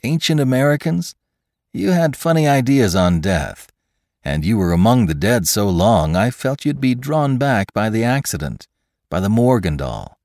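A man playing an excitable, gushing scientist, lively and animated. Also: fairly low pitch, normal speed, no room sound, clean studio sound, voice pushed up. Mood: musing, bubbly. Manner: effusive, eager, exclamatory.

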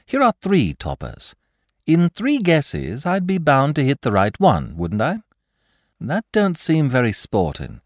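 A man reading aloud, voicing a flippant, excitable aristocratic amateur detective. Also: measured pace, no room sound, clean studio sound. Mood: cheerful. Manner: flippant, theatrical, high-spirited.

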